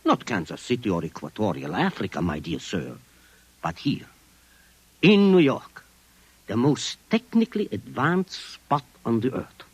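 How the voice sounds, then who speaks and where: Eccentric formal client: husky, lively, precise old-style radio diction. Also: male voice, mid-range voice, a little slow, no room sound, exaggerated voice. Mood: scheming. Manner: precise, courtly, stately diction. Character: formal, eccentric middle-aged gentleman client. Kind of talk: 1950s radio drama acting. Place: radio studio.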